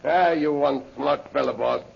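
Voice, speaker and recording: Gravelly, high-pitched henchman. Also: a little slow, a little hiss or hum. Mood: sly, amused. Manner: broken English peppered with French exclamations. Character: rough, fawning male French henchman, jealous and boastful. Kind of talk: 1930s radio adventure acting. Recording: muffled old-time radio recording.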